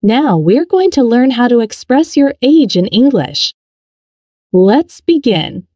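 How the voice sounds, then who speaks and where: A woman narrating a scripted English lesson in a clear, upbeat, instructional way. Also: on the high side, big pitch swings, slow pace, mellow, dry recording, studio quality.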